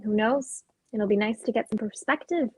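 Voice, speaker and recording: A woman's voice: fairly high pitch, moderate pace, a little mellow, breathy edge, poor audio. A woman acting a restless, searching female character who longs for change, in a dramatic script reading. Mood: reflective.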